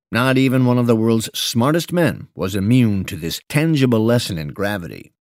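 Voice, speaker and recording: A male narrator delivers scripted, audiobook-style prose in a very dry, close studio sound. His pitch is mid-range but moves widely, giving key phrases expressive weight. The delivery is measured and articulate. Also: dry recording. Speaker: narrator reading an investment memo.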